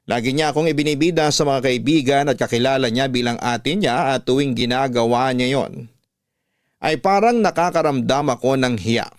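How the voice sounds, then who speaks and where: A man with a Filipino accent reading a personal story as a radio host, in measured, sentimental dramatic narration. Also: medium pitch, dry recording, studio quality. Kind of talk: dramatic scripted narration of a letter.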